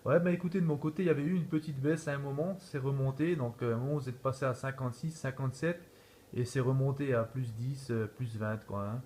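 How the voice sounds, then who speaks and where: A man's voice: medium pitch, steady tone, fast, darkish tone, slight background noise. A man chatting casually as an amateur radio operator, in an easygoing way with frequent repeated tag words. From France. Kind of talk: casual radio contact chat.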